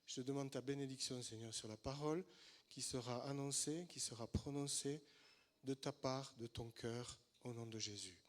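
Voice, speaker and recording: A man's voice: mid-range voice, moderate pace, a little hiss or hum. A man giving a short word and prayer in church, earnest, with stumbling starts. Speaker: church member giving a blessing.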